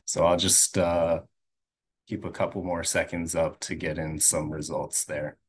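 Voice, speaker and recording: A man's voice: sits low, little pitch movement, a little slow, slightly rough. A man on a video call running a poll and reading results in an easygoing way. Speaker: webinar staff running a poll. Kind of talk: casual announcing.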